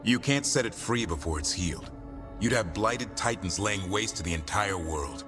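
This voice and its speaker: A man playing a grim, seasoned warrior who explains things gravely and with measure in a video game cutscene. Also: on the low side, lively intonation, normal speed, lightly acted. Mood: firm. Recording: music clearly behind the voice.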